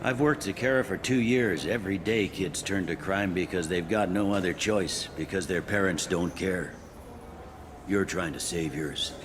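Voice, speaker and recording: A man's voice: low-pitched, normal speed, lightly acted. An actor playing a world-weary, frank male police captain in a sci-fi video game, talking in a dry, matter-of-fact way. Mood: earnest. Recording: clear background noise and ambience.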